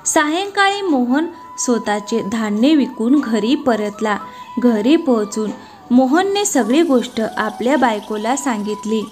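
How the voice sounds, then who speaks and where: A woman storyteller reading scripted narration for an animated moral tale. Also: on the high side, measured pace, faint music behind.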